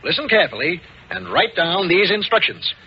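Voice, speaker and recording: A man reading a 1950s radio advertisement as an enthusiastic sales pitch. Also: big pitch swings, fairly quick, breathy edge. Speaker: commercial announcer. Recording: dull, faintly hissy 1950s radio recording.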